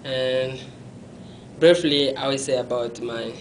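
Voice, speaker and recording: A young man, telling his life story in an interview in a small room, halting and often correcting himself. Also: mid-range voice, fairly even intonation, slight background noise, low-quality recording. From Congo. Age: young adult. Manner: halting, self-correcting, non-native phrasing; gestures with his hands while talking.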